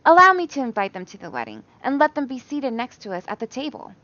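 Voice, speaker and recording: Storybook peasant bride, young and eager, raised pitch, slightly dull recording played by a woman. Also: wildly swooping pitch, fast, pushed and bright, exaggerated voice. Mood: polite pleading.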